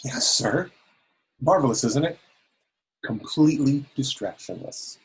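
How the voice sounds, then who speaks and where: Husky, reverent young alien crewman played by a man. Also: medium pitch, expressive, measured pace, exaggerated voice, low-quality recording. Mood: proudly earnest. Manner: formal, reverent, deferential. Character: humble, earnest young male alien crew escort, devoted disciple. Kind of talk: amateur remote table read of a sci-fi comedy.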